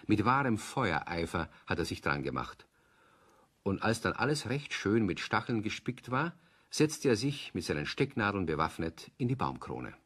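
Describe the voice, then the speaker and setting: An actor as the narrator of a children's audio drama, telling the story in a scripted, engaged way. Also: male voice, on the low side, husky.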